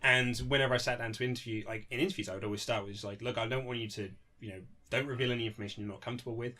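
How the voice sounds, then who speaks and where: A man's voice: mid-range voice, fairly even intonation, rapid-fire, sharp tone. A man chatting casually and emphatically as an author being interviewed, in an informal way. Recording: lo-fi amateur interview setup, music underneath.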